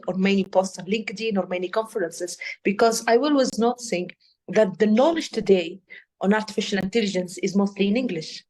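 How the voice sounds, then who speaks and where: A woman with a Tunisian accent, a native Arabic speaker, answering interview questions as an expert in earnest, emphatic and halting non-native English. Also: medium pitch. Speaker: AI policy expert, podcast guest.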